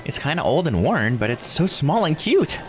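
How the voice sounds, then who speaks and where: A man's voice: on the high side, big pitch swings, moderate pace, faint music behind, pitched above the performer's own voice. A man voicing an earnest, curious young woman who is the polite heroine of a visual novel, speaking expressively. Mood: surprised, curious. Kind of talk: visual novel character read aloud.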